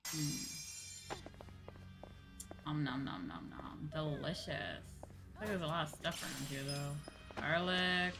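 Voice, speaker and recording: A woman's voice: sits low, animated, takes it slowly, gravelly, long pauses. A woman doing casual, playful, sweary gameplay commentary as a streamer, often reading item names aloud, on a close microphone. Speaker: VTuber game streamer. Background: game music.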